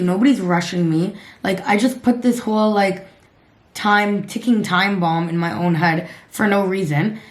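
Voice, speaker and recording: A woman in her twenties from Canada with a raspy voice, chatting bluntly and casually to her camera, swearing and laughing at herself. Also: mid-range voice. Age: 20s. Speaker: lifestyle vlogger.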